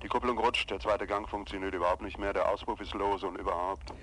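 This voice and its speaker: An actor playing an eager young police officer who reports in a deferential, hurried way. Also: male voice, low-pitched, steady tone, a bit bright, a little hiss or hum. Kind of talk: radio drama acting.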